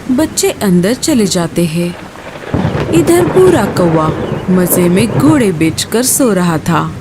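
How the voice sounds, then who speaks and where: A woman's voice: fairly low pitch, sing-song, slow pace, very noisy. A woman storyteller reading a scripted children's story.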